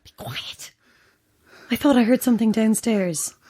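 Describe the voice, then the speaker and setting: A female radio station colleague on the night shift in a horror audio drama. Her Irish-accented voice is fairly high and breathy, often hushed, as she pushes on in scared, stubborn urgency. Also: unhurried. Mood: nervous, urgent. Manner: urgent, insistent, nervy.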